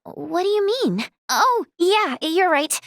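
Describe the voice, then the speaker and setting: A woman's voice: very high-pitched, big pitch swings, takes it slowly, no echo, studio quality, exaggerated voice. A woman playing an earnest, caring young female healer and researcher in a video game, with a hesitant, exclamatory way of talking. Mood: curious, earnest. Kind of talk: English video game voice acting.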